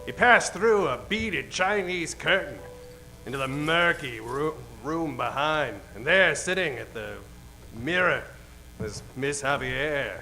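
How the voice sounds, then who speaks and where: A man's voice: fairly high pitch, lively intonation, slow pace, pushed and bright, soft background music, heavily acted, low-quality recording. A man playing the narrator of a noir radio spoof, setting the scene like a storyteller in old-time radio style. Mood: wry storytelling.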